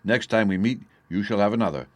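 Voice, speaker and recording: A man's voice: lowish voice, fast, no echo, close to an everyday voice. A man voicing a dignified, good-natured young army officer in a storybook, courteous, amused and jovial.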